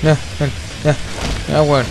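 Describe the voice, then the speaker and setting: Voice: mid-range voice, little pitch movement, takes it slowly, music in the background, low-quality recording. A young Chilean player in casual gaming voice chat, talking in slang with heavy swearing. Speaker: video game player.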